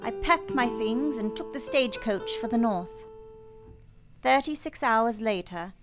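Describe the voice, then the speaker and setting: A woman's voice: a little high, animated, a little slow. Woman as sorrowful, resolute governess over piano music. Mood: wistful. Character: young governess, sorrowful, resolute, recalling her story. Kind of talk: first-person dramatic narration in a radio play.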